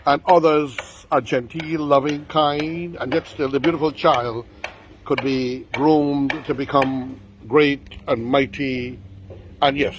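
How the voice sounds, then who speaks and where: A man's voice: a little high, slight background noise. A man, speaking to viewers in a grand, ornate monologue with lots of repetition. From Guyana. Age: 60s. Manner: grandiloquent, ornate word choice, repeats for emphasis. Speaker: online show host.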